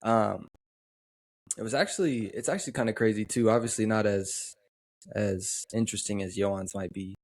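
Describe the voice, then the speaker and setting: A young man from the western United States co-hosting a podcast, talking in a relaxed, easygoing way with frequent pauses. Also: lowish voice. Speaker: podcast co-host. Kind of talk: casual chat.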